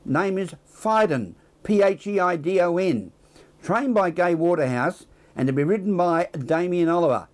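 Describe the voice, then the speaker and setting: Male Australian news presenter, voice on the high side, scripted bulletin read with casual asides. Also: expressive, normal speed. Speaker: news presenter reading the weather.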